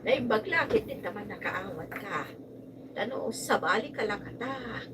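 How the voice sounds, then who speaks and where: A woman with a Filipino accent playing an emotional, expressive mother in a radio drama. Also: medium pitch, a little slow, natural voice. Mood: earnest, concerned. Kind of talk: Ilocano radio drama acting. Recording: distant mics, noisy amateur live recording.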